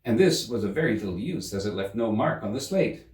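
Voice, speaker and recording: A man reading a children's story aloud as an expressive storyteller. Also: lowish voice, a little fast. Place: indoor room. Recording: roomy, slightly distant microphone.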